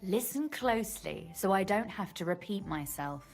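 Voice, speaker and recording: A woman's voice: medium pitch, lively intonation, normal speed, faint music behind. An actress playing a witty, learned, self-assured young noblewoman in a video game, articulate and teasing. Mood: playful, haughty. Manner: articulate, teasing, slightly haughty. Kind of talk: video game dialogue acting.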